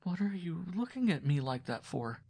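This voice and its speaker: A terrified peasant wife in a storybook reading, pleading in a high, strained voice that trembles with sobs, performed by a man reading aloud. The sound is close and dry, with no room around it. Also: lively intonation, fairly quick, fairly bright tone, husky, no room sound. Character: frightened, sobbing peasant wife, adult woman.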